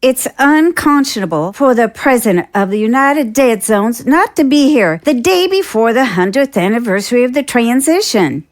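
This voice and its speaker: A woman's voice: fairly high pitch, lively intonation, moderate pace, hardly any pauses, lightly acted. A woman playing a skeptical, imperious senator in an audio drama, with a pointed, formal, theatrical delivery. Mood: disapproving, insinuating.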